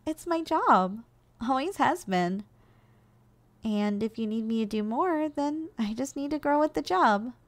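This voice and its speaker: Woman as a gentle, higher-voiced giantess secretary. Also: animated, a little slow. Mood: doting, playful. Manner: soft, coaxing, nurturing, teasingly affectionate. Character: gentle, doting giantess secretary caring for her shrunken boss. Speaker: ASMR role-play performer. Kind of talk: ASMR role-play.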